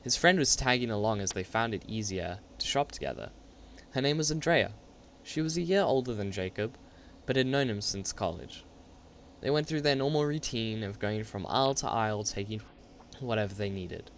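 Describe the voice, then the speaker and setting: A young man with a fairly low voice narrates an amateur radio play. He reads from a script in a dramatic storytelling style. There is slight noise behind him. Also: lively intonation, moderate pace. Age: young adult.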